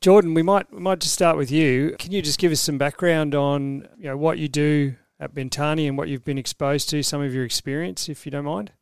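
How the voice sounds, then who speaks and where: A man's voice: a little high, fairly even intonation, brisk, gravelly, hardly any pauses, smooth, no echo, clean studio sound. A man hosting a podcast interview, prompting guests with friendly questions. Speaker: podcast host.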